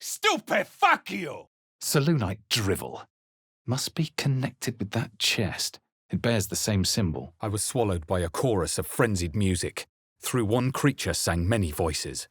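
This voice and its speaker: A man voicing a brooding, wry fantasy adventurer in a video game, measured and dramatic with a husky voice. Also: fairly low pitch, dry recording, studio quality, exaggerated voice. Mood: forceful incantation, then wry, pondering. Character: male fantasy adventurer hero, brooding, wry, casting spells. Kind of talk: video game voice lines and narration.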